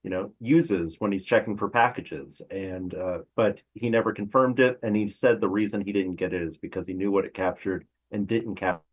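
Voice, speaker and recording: A male crime reporter with a fairly low voice gives conversational analysis as a guest. He explains details step by step, in a halting way with pauses as he picks his phrasing. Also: steady tone, husky, poor audio.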